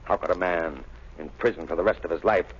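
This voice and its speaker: An actor playing a gruff, scheming, domineering prison warden in a 1930s radio drama. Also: male voice, low-pitched, quick pace, natural voice. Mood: flustered, defensive. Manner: brusque, blustering. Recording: muffled, hissy 1930s radio recording.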